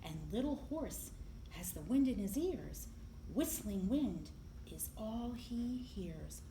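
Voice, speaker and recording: A woman reading a picture book aloud to young children in an expressive, deliberate storytelling manner, with emphasis on the sound words. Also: fairly high pitch. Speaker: library storyteller. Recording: echoey room, clear background noise.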